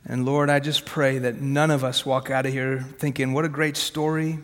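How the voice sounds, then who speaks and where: A man in his thirties whose first language is Farsi, preaching a sermon from his own story in a punchy, conversational way, with quick rhetorical questions and self-mocking jokes. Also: mid-range voice, flat delivery. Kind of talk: sermon with personal testimony. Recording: long hall reverb.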